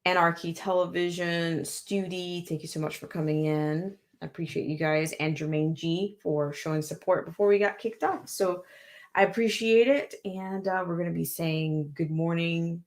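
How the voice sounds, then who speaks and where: A woman's voice: on the low side, steady tone, normal speed. A woman hosting a live stream, thanking viewers in a loose, rambling way with many fillers. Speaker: livestream host. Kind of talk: casual live stream sign-off.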